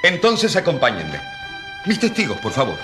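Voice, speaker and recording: A man's voice: mid-range voice, wildly swooping pitch, moderate pace, music in the background. A man playing a defiant, impassioned rural priest on trial in a radio drama, rhetorical and challenging. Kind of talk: Spanish-language radio drama acting.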